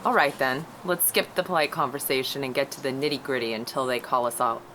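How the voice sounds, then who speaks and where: Lady doing a cool sly glamorous blonde, really deep voice, noisy room. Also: brisk, fairly bright tone. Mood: cool, arch. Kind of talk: table read of a screenplay.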